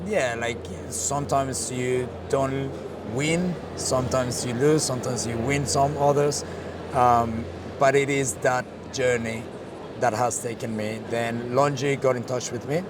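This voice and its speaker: A man with a Colombian accent, a Spanish speaker, telling his career story in a casual interview, with many fillers and restarts. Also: medium pitch. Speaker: interview guest, marketing director. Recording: street noise behind.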